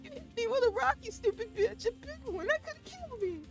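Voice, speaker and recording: A man voicing a cruel village bully boy in a storybook reading, sneering and shouting, over music. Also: very high voice, lively intonation, normal speed, voice pushed up, full character voice. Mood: shrieking.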